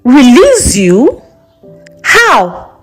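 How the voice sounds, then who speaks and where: A warm mother from a folktale, put on by a woman narrator. She is reassuring and frank, coaxing in a high, very animated voice. She speaks slowly over faint music. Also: exaggerated voice. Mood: puzzled. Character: warm, reassuring, direct middle-aged Nigerian mother.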